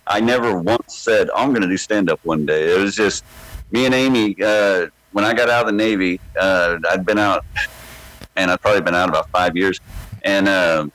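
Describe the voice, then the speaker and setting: A man who is a stand-up comedian, telling a long, rambling story in a casual chat, with a deep, slightly mumbly voice and plenty of swearing. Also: moderate pace, fairly bright tone, low-quality recording. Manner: rambling, laid-back, swears freely. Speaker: stand-up comedian, podcast guest.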